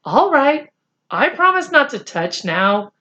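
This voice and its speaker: Lady doing an eager lil storybook boy, excited, breathless, reassuring, pitched way up. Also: slow pace, highs cut off, heavily acted.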